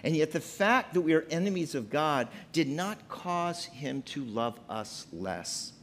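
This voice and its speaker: A man's voice: expressive, a little slow, a little hiss or hum. A man in his fifties preaching a sermon from a church pulpit, emphatic and gesturing as he speaks. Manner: preaching with wide pitch swings, emphatic and gesturing. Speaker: pastor. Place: church sanctuary at a pulpit, indoors.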